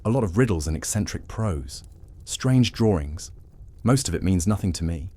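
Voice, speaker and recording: A man playing an evasive, scheming vampire advisor who speaks in a measured, persuasive way. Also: low voice, animated, dark tone. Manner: measured and persuasive, dodging questions. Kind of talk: audio drama acting.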